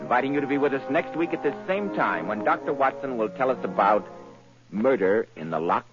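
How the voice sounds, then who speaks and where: A man announcing a 1940s radio program in a smooth, booming announcer delivery. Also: animated, brisk, over music. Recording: dull old radio recording.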